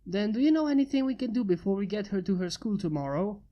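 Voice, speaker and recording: A fanfiction reader voicing a blunt, gruff inventor who has become a father. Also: medium pitch, expressive, fast, dull audio, natural voice.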